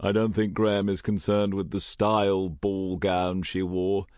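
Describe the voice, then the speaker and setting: Man voicing a low, sardonic gentleman. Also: expressive, moderate pace, no echo. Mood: dry. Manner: dry, wry, unbothered. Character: dry, sardonic, amused aristocratic gentleman cousin. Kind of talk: audiobook character acting.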